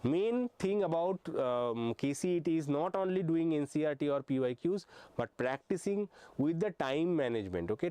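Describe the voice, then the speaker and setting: A man's voice: on the high side, a little mellow, clean tone, dry recording. A young man teaching an online physics exam-prep lesson. He explains step by step, often hesitates with "uh" and checks in with "okay". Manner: frequent hesitations with uh and um.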